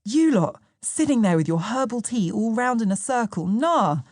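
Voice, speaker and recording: Woman voicing a streetwise young London man, raised pitch. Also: lively intonation, moderate pace, darkish tone, no echo, studio quality. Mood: incredulous. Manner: slangy, emphatic.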